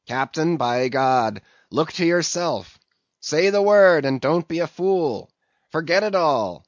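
A rough sailor ringleader, as a male reader puts him on, stands his ground with forceful, defiant speech. His voice sits a little above the reader's own. The recording is dull and dry. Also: on the high side, dry recording, pitched above the performer's own voice.

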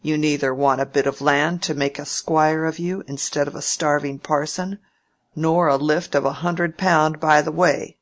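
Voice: medium pitch, fairly even intonation, moderate pace, lightly acted. A reader voicing a grumbling, domineering old male landowner who talks bluntly in a country way. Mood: gruff bargaining. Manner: blunt country speech, bargaining and scolding. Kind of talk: audiobook character reading.

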